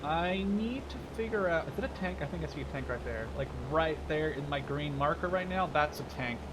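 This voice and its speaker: Young guy in his 20s doing gameplay commentary, kinda high voice, jokey and slangy, engine noise behind him. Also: expressive, fairly quick. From Canada. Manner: self-deprecating, joking, slangy. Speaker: gaming video host. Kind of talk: casual live gameplay commentary. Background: game vehicle sounds.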